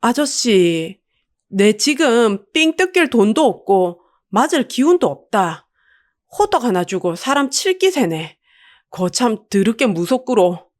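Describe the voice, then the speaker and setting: A woman playing a defiant, down-and-out middle-aged woman with a sharp tongue and a Busan accent. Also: fairly high pitch, big pitch swings, slow, pitched above the performer's own voice. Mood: wary grumbling. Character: feisty, vengeful down-and-out betrayed middle-aged woman.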